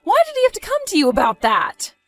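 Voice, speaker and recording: A woman's voice: extremely high pitch, wildly swooping pitch, fast, fairly bright tone, exaggerated voice. A woman acting an evasive wife in a dramatized story, sweet but easily flustered and indignant, with theatrical expression. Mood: embarrassed, flustered. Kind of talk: dramatized text-message story narration.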